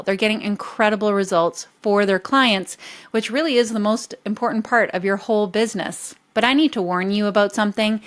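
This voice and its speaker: Emphatic woman teaching a business masterclass. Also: mid-range voice. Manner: emphatic, direct, addresses audience as guys. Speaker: business coach.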